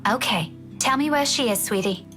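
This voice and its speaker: A woman's voice: fairly high pitch, lively intonation, moderate pace, over music, lightly acted. A woman voicing a kind, coaxing schoolteacher as she reads a visual novel game aloud. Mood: gentle, coaxing. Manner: gentle, coaxing. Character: kind, coaxing female schoolteacher talking to a child.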